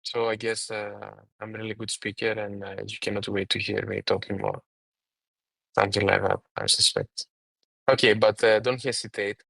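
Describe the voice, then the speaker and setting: Man giving halting webinar advice, dry sound. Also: lowish voice, flat delivery, gravelly, bright, forceful tone, no echo, rough recording. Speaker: webinar speaker and mentor.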